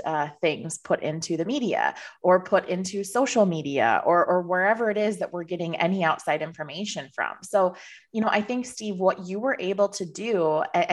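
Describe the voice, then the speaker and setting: A woman hosting a podcast interview, encouraging and reflective. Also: on the low side. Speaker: podcast host. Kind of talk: casual interview chat.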